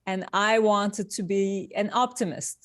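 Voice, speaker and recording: A woman from Turkey, speaking as an interview guest in unhurried, deliberate phrases. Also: mid-range voice, steady tone, a bit bright, no room sound, studio quality. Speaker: guest, industrial designer. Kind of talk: conversational interview answers.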